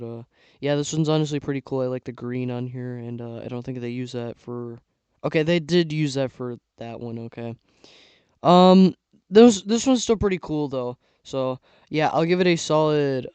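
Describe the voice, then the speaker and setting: Young male vlogger, rambly casual commentary, clear voice with a slight hoarseness. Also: medium pitch, darkish tone, dry recording. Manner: rambling, filler-heavy, trailing off. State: losing his voice. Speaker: YouTuber ranking toy sets. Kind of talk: casual tier-list commentary.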